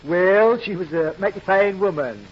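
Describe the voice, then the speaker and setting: An actor playing an odd, laconic small-town old-timer with halting, deadpan delivery in a 1940s radio comedy. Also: male voice, high voice, lightly acted. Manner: deadpan, halting, repetitive yeps. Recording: muffled, noisy old radio recording.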